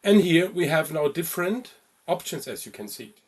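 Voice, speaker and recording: Higher-voiced man narrating a software tutorial. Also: expressive, moderate pace, breathy edge. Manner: informal step-by-step explaining with check-in questions. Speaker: travel ticketing instructor.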